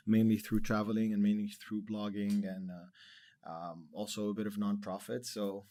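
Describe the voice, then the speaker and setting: Low, flat-voiced man in his thirties. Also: normal speed. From Lebanon. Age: 30s. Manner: relaxed, rambling with many fillers. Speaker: podcast guest. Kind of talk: casual interview chat.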